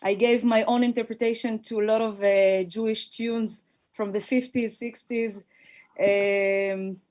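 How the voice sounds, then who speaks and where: A woman's voice: on the high side, steady tone, unhurried, a little mellow, slight background noise. A woman from Israel with an Israeli accent and a native Hebrew background, introducing herself as a guest musician in a thoughtful, hesitant way with many fillers. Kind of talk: conversational self-introduction.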